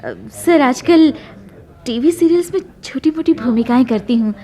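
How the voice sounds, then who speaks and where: A woman's voice: high-pitched, normal speed, mellow, lightly acted. A woman playing a deferential, eager small-time actress in a radio play, hesitant and polite in her conversation. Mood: hesitant, modest. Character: deferential, eager struggling actress greeting old acquaintance.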